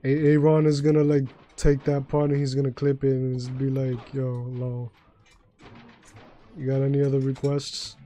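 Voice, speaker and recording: A young man with a deep voice chatting loosely and profanely while streaming a game and taking chat requests. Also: completely flat delivery, moderate pace, dark tone. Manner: loose, profane, joking, sings badly on request. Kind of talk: casual gaming livestream chat.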